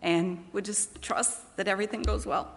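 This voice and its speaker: A woman's voice: mid-range voice, fairly even intonation, moderate pace. A woman church leader speaking in a plain, conversational way to a congregation during announcements and prayer. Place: large church meeting hall. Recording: echoey hall with long reverb.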